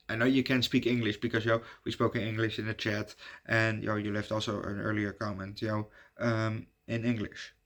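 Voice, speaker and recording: A man's voice: fairly low pitch, a bit bright. Dutch man chatting casually, many fillers. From Netherlands. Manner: halting, many fillers and restarts. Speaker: YouTube reviewer giving shout-outs. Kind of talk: casual rambling chat.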